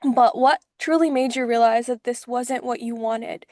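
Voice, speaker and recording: A woman's voice: a little high, fairly even intonation, normal speed, a little mellow, no room sound, studio quality. A young woman interviewing a guest, casual and friendly in her wording, often filling in with "like" and "um". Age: young adult. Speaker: host, interviewer. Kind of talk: interview conversation.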